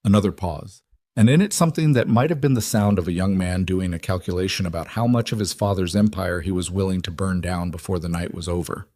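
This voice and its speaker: A man with a lowish voice narrates a suspense audiobook. The reading is scripted and dramatic, recorded dry and close with no room sound. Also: fairly quick, warm tone, dry recording.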